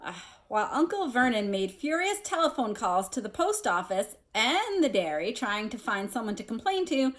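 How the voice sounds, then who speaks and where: A woman's voice: fairly high pitch, big pitch swings, moderate pace, few pauses, a bit bright. A woman reading a children's novel aloud like a teacher, expressively, with casual asides.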